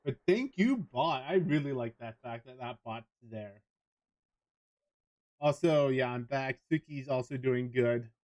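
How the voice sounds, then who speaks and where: A man's voice: medium pitch, lively intonation, measured pace, a little mellow, highs cut off. A man talking casually to viewers as a livestreamer during a gaming stream.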